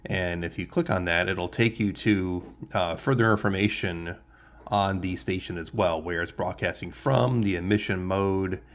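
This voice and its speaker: This is a man with a deep, low-pitched voice presenting as a hobbyist. He talks casually and without a script, walking the viewer through a demonstration. His delivery is halting, as if working out each phrase while he goes. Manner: halting, thinking aloud as he demonstrates. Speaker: shortwave radio hobbyist presenter.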